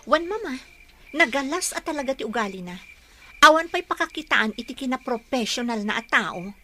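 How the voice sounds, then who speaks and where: A woman's voice: high voice, lively intonation, moderate pace, bright, forceful tone, full character voice. An actress playing an indignant young working woman, a dutiful daughter, in a radio drama. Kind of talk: Ilocano radio drama acting.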